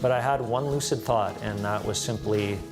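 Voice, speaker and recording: A man giving a personal testimony, recounting his experience deliberately and searching for words. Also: fairly low pitch. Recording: music bed under the voice. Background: background music.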